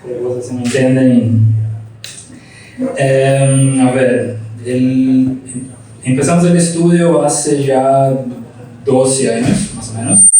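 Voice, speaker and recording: A man from Brazil with a Brazilian accent mixed with Argentine Spanish, telling his story in a reflective, unhurried way during a casual interview. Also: mid-range voice, fairly even intonation, warm tone. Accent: Brazilian Portuguese with Argentine Spanish. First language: Portuguese. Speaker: studio founder, interview guest. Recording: echoey room, distant mic, faint hum.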